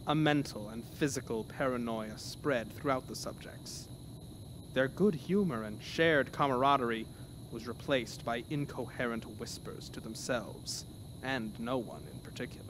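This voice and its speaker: A man's voice: mid-range voice, moderate pace, noisy background. A man narrating a scripted horror story in a dramatic, theatrical manner.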